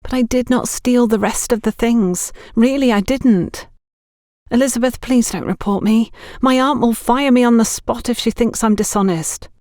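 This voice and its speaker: Woman playing a high-pitched, nervous, pleading young shop girl. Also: moderate pace, dark tone, dry recording, clean studio sound, lightly acted. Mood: confessing, pleading.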